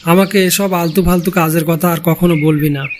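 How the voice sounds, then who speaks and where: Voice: mid-range voice, fairly even intonation, normal speed, a little hiss or hum, close to an everyday voice. A performer voicing a callous, contemptuous husband, curt and dismissive, in a cartoon fairy tale. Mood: cold anger.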